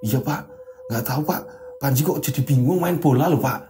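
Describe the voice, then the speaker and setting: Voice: medium pitch, normal speed, warm tone, pitched below the performer's own voice, close to an everyday voice. Storyteller as a timid young footballer. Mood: anxious. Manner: timid, pleading. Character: young male footballer, anxious and hurting. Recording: roomy sound with faint music.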